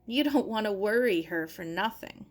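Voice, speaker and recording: A woman's voice: mid-range voice, lively intonation, moderate pace, close to an everyday voice. A woman voicing an urgent, determined young English girl in a storybook reading. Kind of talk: acted children's audiobook dialogue.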